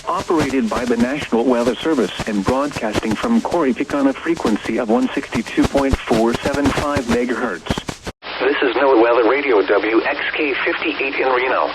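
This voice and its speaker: A man reading scripted weather radio station identifications in a formal, measured way, like a public service announcer. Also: medium pitch. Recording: muffled, noisy weather radio broadcast.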